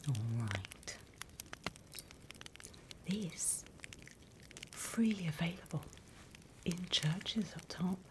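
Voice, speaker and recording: A British woman gives a whispered ASMR roleplay lesson in a low, breathy voice. She takes her time, speaking slowly and gently as a conspiratorial instructor. There is clear background noise on the recording. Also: very animated, low-quality recording. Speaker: ASMR roleplay performer playing a demons' class instructor.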